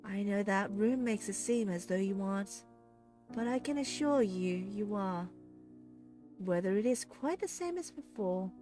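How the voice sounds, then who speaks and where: A woman voicing a mysterious woman villain with an obsessive, flippant and coldly teasing way of talking, raised somewhat above her own pitch. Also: unhurried, warm tone, soft background music, lightly acted. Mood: mocking. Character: menacing, mocking woman villain speaking over a speaker.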